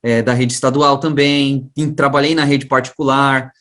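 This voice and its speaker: A man's voice: mid-range voice, flat delivery, normal speed, a bit bright. A man with a São Paulo-area Brazilian accent, an interview guest telling his own story in a casual, slangy, chatty way. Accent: Brazilian, São Paulo region. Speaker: guest, science teacher and education researcher.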